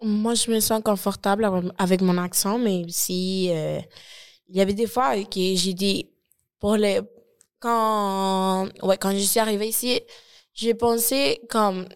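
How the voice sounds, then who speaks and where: A woman's voice: medium pitch, steady tone, unhurried, smooth. Hesitant woman. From Colombia, Caribbean coast. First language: Spanish. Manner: hesitant, searching for words, many fillers. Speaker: discussion participant. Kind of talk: casual conversational answer.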